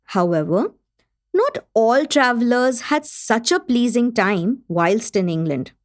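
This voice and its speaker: An actress playing the gracious host of a literary gathering in a radio play, formal and appreciative. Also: female voice, fairly high pitch, expressive, slow pace. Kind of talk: scripted radio play narration.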